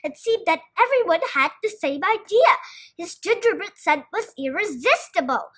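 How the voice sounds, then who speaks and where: A woman reading a children's story aloud with expressive scripted narration. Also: very high voice, moderate pace.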